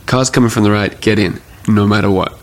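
A man's voice: lowish voice, fairly quick. A man hosting a casual talk show, telling a story with animation and acting out what others said. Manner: animated storyteller who acts out quoted voices.

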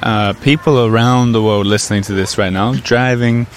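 Male podcast co-host, lively and playful, slight ambient hiss behind. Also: mid-range voice, moderate pace. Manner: playful, enthusiastic. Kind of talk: casual chat.

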